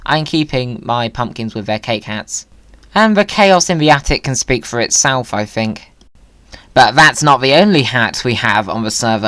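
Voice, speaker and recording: A gaming video host chats away in a medium-pitched voice, recorded close and dry. The delivery is playful, whimsical and excitable, like someone happily showing viewers around a game. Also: dry recording.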